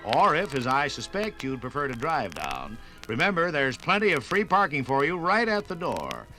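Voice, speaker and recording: Bright, animated 1950s radio announcer man. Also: a little high, moderate pace, soft background music. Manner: polished announcer delivery. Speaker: narrator and sponsor announcer. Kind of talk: 1950s radio scripted narration.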